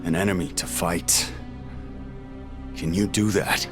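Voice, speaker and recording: A man playing a grim, weary, battle-hungry warrior in a video game, with a terse, brusque, deadpan delivery. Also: low-pitched, a little slow, over music. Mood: cold, weary. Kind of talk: video game cutscene acting.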